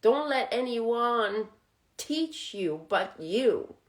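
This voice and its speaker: Woman, French native speaker, spiritual host, casual talk, unhurried, laughs often. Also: on the high side, expressive.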